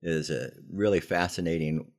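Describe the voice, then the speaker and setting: An older American man telling personal stories in a conversational, folksy way, leaning deliberately on key phrases, as a podcast host. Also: low-pitched. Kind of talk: conversational storytelling with moral lessons.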